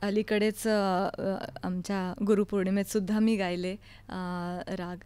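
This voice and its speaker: A woman's voice: medium pitch, little pitch movement, a little slow, darkish tone, smooth, dry recording. A woman, a classical vocalist speaking as an interview guest in a studio. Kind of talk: conversational interview. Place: recording studio.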